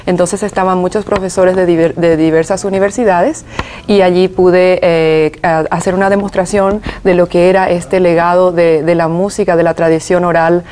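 Woman musician guest, lowish voice, explains with halting restarts and fillers. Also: little pitch movement, dark tone, slight background noise. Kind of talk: TV interview.

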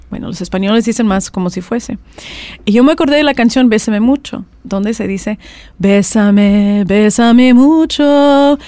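A woman's voice: fairly high pitch, no room sound. Chatty woman, mixed Spanish accent. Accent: mixed Spanish, Argentine and Mexican Spanish accent. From California, USA. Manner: chatty, animated storytelling with restarts. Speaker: guest, language teacher. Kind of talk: conversational interview.

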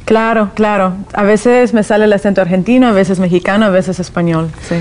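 A woman's voice: medium pitch, steady tone, brisk, darkish tone. Woman guest who grew up in California with Russian as her first language, mid-range voice, explanatory and hesitant with fillers. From Soviet Union, raised in California. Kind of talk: TV interview.

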